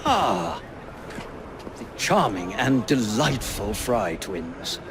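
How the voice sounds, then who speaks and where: An actor playing a charming, self-deprecating Victorian writer of lurid stories, wordy and theatrical, in a video game. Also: male voice, on the low side, sing-song, very slow, exaggerated voice. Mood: wry, florid. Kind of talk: video game cutscene acting. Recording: noisy outdoor city ambience.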